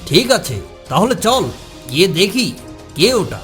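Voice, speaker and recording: Woman voicing a bold, animated cartoon monster. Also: mid-range voice, a little slow, soft background music. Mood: bossy teasing. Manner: scolding, teasing, commanding. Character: big bold bossy monster, teasing protector of the forest. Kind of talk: children's cartoon character dialogue.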